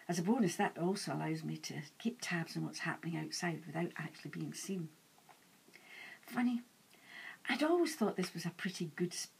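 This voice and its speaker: A woman author reading her own novel aloud in an animated, dramatic first-person storytelling style. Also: lowish voice, vocal fry, breathy edge, poor audio. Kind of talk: expressive audiobook-style first-person narration.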